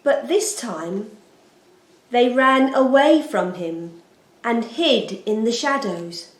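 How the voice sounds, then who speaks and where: A woman storyteller giving an expressive, solemn and deliberate narration of a scripted children's Bible story. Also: a little high. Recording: roomy, echoing sound.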